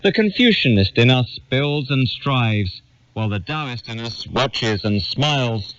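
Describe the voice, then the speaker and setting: A man reads a literary essay aloud in a measured, formal, declamatory way. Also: medium pitch, lively intonation, a bit bright, dry recording, highs cut off. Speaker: reader of an essay. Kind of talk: scripted narration, reading a literary essay aloud.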